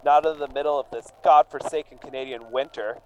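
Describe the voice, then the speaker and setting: A man's voice: a little high, steady tone, fairly quick, a little hiss or hum, natural voice, poor audio. An actor playing an earnest, defensive immigrant painter down on his luck in a radio drama. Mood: pleading. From England. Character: John Terse, struggling English immigrant painter, earnest and defensive.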